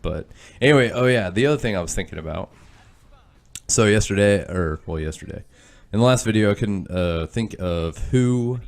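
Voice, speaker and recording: Deep-voiced man rambling with a stuffy nose. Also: expressive, normal speed. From Kansas. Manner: rambling, self-deprecating, lots of fillers. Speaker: gaming channel host. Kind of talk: rambling let's-play commentary.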